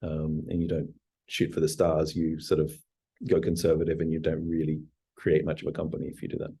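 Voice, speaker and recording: A man's voice: very deep voice, monotone, fairly quick, mellow, slightly rough. A man in his forties, a company founder answering interview questions in a hesitant, reflective way, full of fillers and restarts. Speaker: startup CEO guest.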